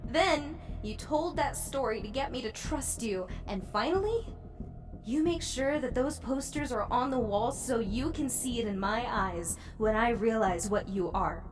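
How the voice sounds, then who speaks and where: A woman's voice: fairly high pitch, lively intonation, measured pace, over music. An actress playing a wary, frightened young woman in an audio drama, hesitant and guarded as she speaks. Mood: accusing, frightened.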